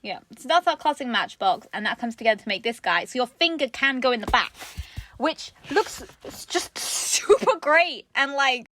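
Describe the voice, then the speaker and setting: A woman's voice: high-pitched, animated, normal speed, bright, forceful tone, a little hiss or hum. A woman hosting a casual comedic craft chat, giggly and playfully mocking as she talks. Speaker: craft show host.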